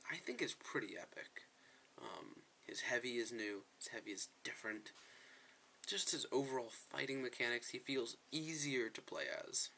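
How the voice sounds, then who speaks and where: A man's voice: mid-range voice, normal speed, creaky, pushed and bright, a little hiss or hum. A man giving casual gameplay commentary as a video host, chatting to viewers in a rambling, self-correcting way.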